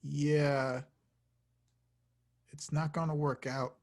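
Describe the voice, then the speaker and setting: A man's voice: fairly high pitch, flat delivery, very slow. A man playing an awkward, sheepish young slacker in a comedy table read, talking in a casual, hesitant way.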